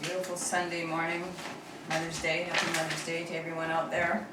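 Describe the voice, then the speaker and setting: A woman leading church worship who has a sore, rough throat, singing hymns slowly and speaking softly between songs. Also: low voice, completely flat delivery. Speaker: worship leader. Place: church sanctuary. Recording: roomy, distant, noisy church recording.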